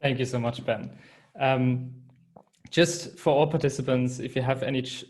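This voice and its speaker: A man's voice: medium pitch, fairly even intonation, moderate pace, darkish tone, poor audio. A man moderating an online webinar over a video call, hesitant with many fillers.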